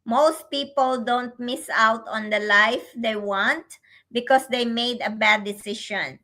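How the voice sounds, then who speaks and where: A woman's voice: on the high side, steady tone, slow, sharp tone. A grandmother from the Philippines co-hosting a casual business livestream, laughing often and repeating phrases. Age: older. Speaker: online business mentor and livestream co-host.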